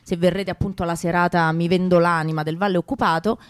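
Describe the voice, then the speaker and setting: A woman presents on radio in an informal, conversational way. Her voice is slightly low, and the sound is dry and close. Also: fairly even intonation, fast, smooth, dry recording. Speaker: radio co-host.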